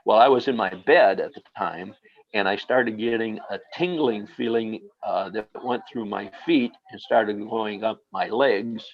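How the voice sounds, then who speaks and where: A man recounts a personal experience at a very slow, halting pace, filling his pauses with hesitations. His voice sits fairly low, and the recording sounds dull and lo-fi. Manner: slow, halting, with many fillers. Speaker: guest.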